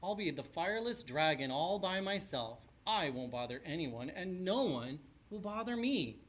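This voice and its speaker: Little boy dragon for a storybook, voiced by a man: gentle, earnest, downhearted. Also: a little high, very animated, moderate pace.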